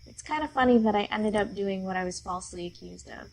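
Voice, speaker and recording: A woman telling the story of a dream in a soft-spoken storytelling manner that sometimes turns whispery. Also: medium pitch, fast, vocal fry, poor audio. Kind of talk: recounting a dream.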